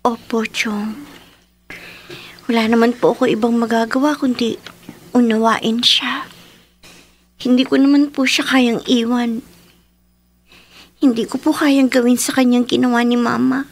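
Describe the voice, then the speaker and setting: A woman's voice: a little high, little pitch movement, a little slow, long pauses. A woman playing a polite, earnest, hurting teenage daughter in a radio drama. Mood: quietly resolute. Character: dutiful, hurting teenage daughter pleading with her father.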